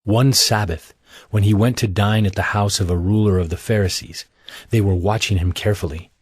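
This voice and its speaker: A man's voice: fairly low pitch, steady tone, a little fast, darkish tone, husky, no echo, clean studio sound. A man narrating scripture from a script for an audio drama.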